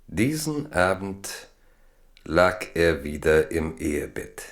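Very deep male voice, grave storyteller, widely swinging pitch, scripted historical radio drama narration. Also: very animated, slow, gravelly, full character voice.

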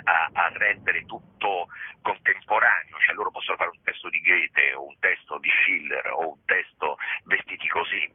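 A man speaks in a very deep, husky voice. He muses and wanders as a theatre director in a radio interview, often restarting his sentences. The sound comes through a thin, tinny phone line with no room echo. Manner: reflective and digressive, many fillers and restarts. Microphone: telephone.

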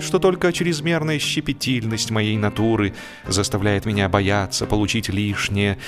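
Male audiobook narrator, medium pitch, expressive comic delivery with drawn-out emphatic phrasing, light music underneath. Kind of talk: expressive humorous scripted narration, first person.

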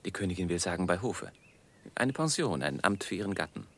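A man's voice: low-pitched, very animated, brisk, fairly bright tone, heavily acted. A man playing a suave, cynical French chevalier in a German radio drama, with an urbane, ironic manner. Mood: skeptical.

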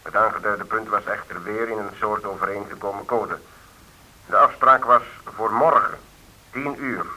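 An actor playing a composed, commanding police official heard over the telephone in a radio play. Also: male voice, fairly low pitch, fairly even intonation, normal speed, pushed and bright. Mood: composed, authoritative. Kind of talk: Dutch radio play acting. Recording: dull lo-fi telephone line.

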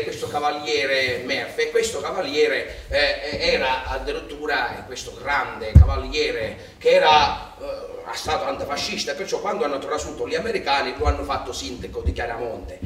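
A man's voice: fairly high pitch, a bit bright, a little hiss or hum, close to an everyday voice. An actor playing an emotional, naive Sicilian peasant father, telling his story on stage in a Sicilian accent and repeating words as he rambles. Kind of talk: theatrical stage monologue in dialect. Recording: echoey theatre from far off, music behind.

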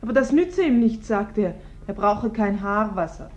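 An actress playing a dutiful, flustered housemaid in a radio play, deferential, apologetic and anxious. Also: female voice, mid-range voice, expressive, moderate pace, darkish tone, lightly acted. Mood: hesitant, insistent. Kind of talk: German radio play acting. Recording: dull, band-limited radio recording.

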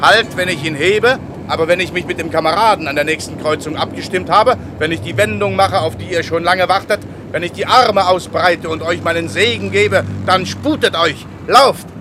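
A man's voice: high-pitched, normal speed, hardly any pauses, lightly acted. A man acting a self-important traffic policeman in a radio play, with an emphatic, declamatory manner. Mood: commanding, exalted. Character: self-important male traffic policeman, commanding, growing bewildered. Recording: clear street traffic noise.

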